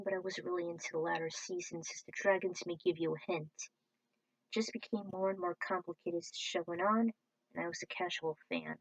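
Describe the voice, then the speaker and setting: A woman narrates informal, scripted video game commentary for her own video. Her pitch sits in the middle range for a woman. The sound is dry, with very little room on it. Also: dry recording, low-quality recording.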